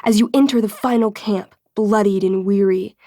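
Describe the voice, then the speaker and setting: A woman's voice: medium pitch, fairly even intonation, normal speed, warm tone, no echo, clean studio sound, close to an everyday voice. An actress playing an enthusiastic young woman game master in a video game, moving between theatrical storytelling and casual, wry asides. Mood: dramatic, suspenseful. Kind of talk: video game dialogue, dramatic tabletop narration.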